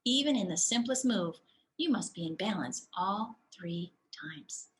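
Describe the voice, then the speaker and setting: A woman reading a storybook as a kindly older dance teacher, wise and passionate, speaking slowly and deliberately. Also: mid-range voice, expressive. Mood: patient, instructive.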